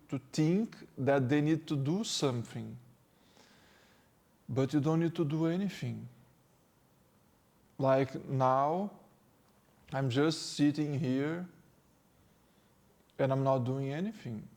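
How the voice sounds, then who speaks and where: A man from Brazil with a Brazilian Portuguese accent, speaking English as a second language and giving a calm spiritual talk as a teacher, slow and gentle with pauses. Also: medium pitch. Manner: slow, gentle, with pauses and non-native phrasing. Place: sitting indoors.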